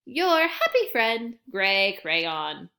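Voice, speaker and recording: A woman's voice: on the high side, big pitch swings, very slow, creaky, bright, forceful tone, full character voice. A woman voicing a cheerful, dutiful crayon in a children's storybook, upbeat and politely formal. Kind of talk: children's storybook read-aloud.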